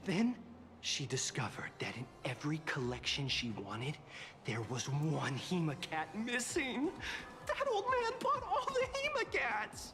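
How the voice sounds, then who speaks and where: An actress voicing a chatty young woman shop clerk in a game who pitches deals and passes on gossip. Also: big pitch swings, unhurried, background noise. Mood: gossipy. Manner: salesy, gossiping. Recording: game audio over faint music.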